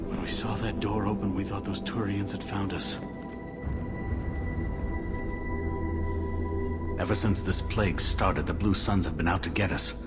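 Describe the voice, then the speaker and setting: A man's voice: low-pitched, steady tone, a little fast, natural voice. An actor playing a wary, weary man sheltering in quarantined slums in a video game, speaking grimly and matter-of-factly. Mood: anxious, bitter. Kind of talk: video game dialogue acting. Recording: music playing behind the voice.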